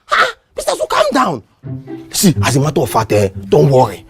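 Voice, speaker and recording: A man's voice: on the high side, huge pitch swings, fairly quick, a bit bright, soft background music. A man with a Nigerian accent playing a cowardly, wheedling neighbour in a comedy skit, stammering and repeating himself to placate. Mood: flustered.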